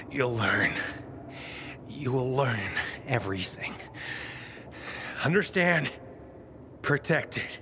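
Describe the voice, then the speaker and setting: A male actor as a weakened man giving grave, urgent instructions in a video game. He speaks slowly and haltingly at a medium pitch, with clear noise around him. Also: natural voice. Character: urgent, weakened man entrusting a vital key. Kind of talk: video game cutscene acting. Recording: clear ambient noise behind voice.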